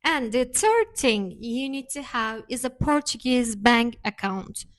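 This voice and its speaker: A woman's voice: fairly high pitch, lively intonation, measured pace. A woman from Turkey, co-hosting a casual chat and explaining things with frequent hesitations. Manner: explanatory, many hesitation fillers. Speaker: co-host.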